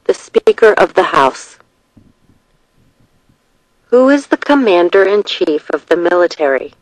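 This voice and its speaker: A narrator reading scripted test questions and short answers in a measured, deliberate way. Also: mid-range voice, big pitch swings, dry recording, low-quality recording.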